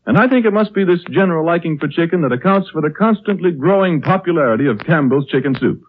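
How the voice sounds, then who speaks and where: A man's voice: on the high side, lively intonation, quick pace, slightly rough. An American man announcing a sponsor's script in a polished, persuasive 1930s radio style. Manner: polished, persuasive sales delivery. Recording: tinny 1930s radio recording.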